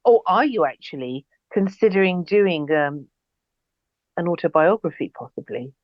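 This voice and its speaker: A woman's voice: lowish voice, expressive, normal speed, no echo, highs cut off. A woman from the UK hosting an interview, asking effusive, admiring questions. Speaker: podcast host.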